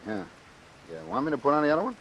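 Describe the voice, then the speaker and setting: An actor playing a rough, uneducated gangster who talks in slangy, ungrammatical tough-guy speech in a vintage radio drama. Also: a little high, wildly swooping pitch, rapid-fire, lo-fi sound. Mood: wary, greedy. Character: rough, uneducated wounded gangster and killer for hire. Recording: hissy, noisy old radio recording.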